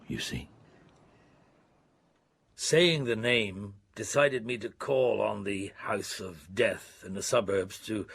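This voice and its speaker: Creaky-voiced, dogged police detective. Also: male voice, fairly low pitch, fairly bright tone, full character voice. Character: weary, dogged middle-aged male police detective recounting a case. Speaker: narrator and lead character. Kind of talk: radio drama acting, first-person narration.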